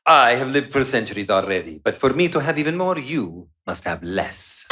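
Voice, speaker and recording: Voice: medium pitch, very animated, moderate pace, pushed and bright. An actor playing a greedy, centuries-old vampire doctor in a live radio play, with theatrical, gloating menace. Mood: greedy, gleeful.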